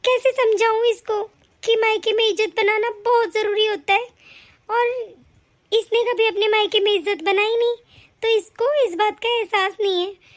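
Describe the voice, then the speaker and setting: Scolding older matriarch, shrill and high, played by a woman. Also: fairly even intonation, normal speed. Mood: lamenting. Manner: scolding, indignant. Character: stern, scolding old mother-in-law. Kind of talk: family skit acting.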